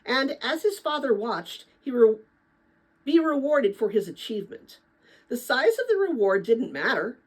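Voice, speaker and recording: Female storyteller for middle-grade read-aloud. Animated intonation, husky edge, dramatic but conversational. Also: on the high side, a little slow. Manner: expressive, chatty when commenting to listeners. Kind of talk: dramatic children's book read-aloud with casual asides.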